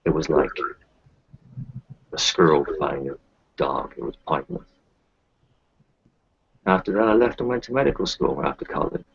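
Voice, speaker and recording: A man playing a weary, kindly doctor in a tabletop roleplay, giving measured, melancholy advice. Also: very deep voice, expressive, vocal fry, husky, no room sound, lo-fi sound, exaggerated voice. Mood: sorrowful. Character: weary, kindly male kinfolk doctor who lost his children. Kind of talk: tabletop roleplay, improvised in character.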